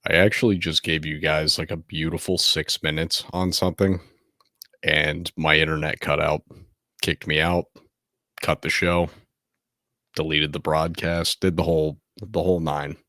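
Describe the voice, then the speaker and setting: Man, 30s, podcast host, very deep voice, soft close-mic delivery, dry sound. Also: flat delivery, a little slow, no room sound. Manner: speaking softly and close to the mic, laid-back and candid. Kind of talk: casual late-night solo podcast. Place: home at night. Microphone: close to the microphone.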